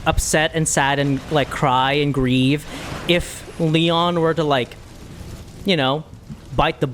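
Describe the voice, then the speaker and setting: A woman's voice: low voice, fairly even intonation, slow pace, slight background noise. A woman giving casual, musing gameplay commentary to her livestream viewers, talking through her thoughts. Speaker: game streamer.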